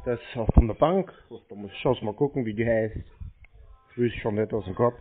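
A man's voice: on the low side, normal speed, slight background noise. Guy rambling on like a clueless customer, tinny narrow phone sound. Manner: naive, rambling, colloquial. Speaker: prankster playing a gullible customer to phone scammers. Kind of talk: casual phone conversation.

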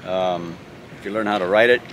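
Faint outdoor noise sits behind a man in his sixties. His voice is fairly low as he gives casual answers in an informal interview, a step away from the camera. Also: brisk, a bit bright. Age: 60s. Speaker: interviewee, cyclist. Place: outdoors on an open grassy lot. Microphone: camera microphone a step away.

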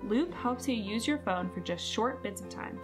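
A woman's voice: fairly high pitch, animated, normal speed. A woman reading scripted narration for a product promo video. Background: guitar music.

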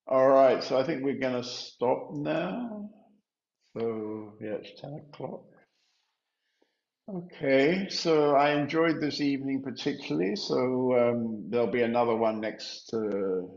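An older man, a spiritual teacher, explaining in a measured, gently halting way on a video call. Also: mid-range voice, vocal fry. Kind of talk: informal teaching and chat. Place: room at home, indoors. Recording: echoey room, dull lo-fi sound.

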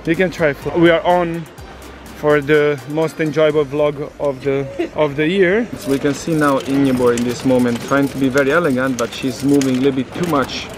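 A man's voice: medium pitch, steady tone, moderate pace. A middle-aged man, coaching swimmers outdoors with teasing, upbeat commentary. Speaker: swim coach. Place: outdoor training area by a pool. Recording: outdoor with music behind.

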